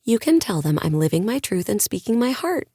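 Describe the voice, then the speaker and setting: A woman's voice: mid-range voice, very animated, a little fast, warm tone, husky, no echo, clean studio sound, voice pushed up. A woman narrator voicing a playful young female friend who speaks in a wry, teasing way.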